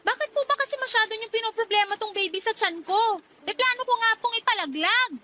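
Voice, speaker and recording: A woman's voice: very high-pitched, fast talker, noisy background. A woman playing a bitter, defiant young daughter in a Filipino radio drama, protesting emotionally with a Filipino accent. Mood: defensive.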